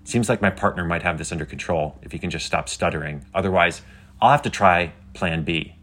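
A man voicing an excitable, geeky schoolgirl who chats brightly to livestream viewers in a children's story. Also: low-pitched, fairly quick. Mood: hushed, anxious. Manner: bubbly, chatty, addressing viewers. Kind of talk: scripted children's audio story.

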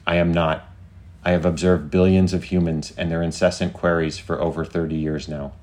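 A man's voice: low-pitched, flat delivery, moderate pace, a little mellow, natural voice. A man voicing a devoted artificial intelligence in a story, speaking in a solemn, formal, declamatory way. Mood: solemn devotion. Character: devoted, lovestruck artificial intelligence, grandiose and tender.

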